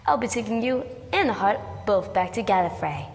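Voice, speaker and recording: An actress playing a no-nonsense, sarcastic Time Lord agent with a dry, brisk manner in a science-fiction audio drama. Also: female voice, mid-range voice, animated, close to an everyday voice. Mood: confident. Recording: long reverb with music behind.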